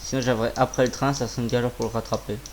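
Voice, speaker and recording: Slightly hoarse man doing gameplay commentary. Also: mid-range voice, little pitch movement, rapid-fire, slight background noise, poor audio. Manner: informal, muttering to himself, swearing. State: sore throat with a cough. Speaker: gaming video creator. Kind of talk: casual live gameplay commentary.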